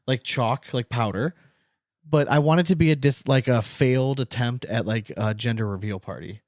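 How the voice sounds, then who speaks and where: A man's voice: medium pitch, normal speed, dry recording. Casual male podcast co-host, close dry sound. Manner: casual, profane. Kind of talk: casual joking chat.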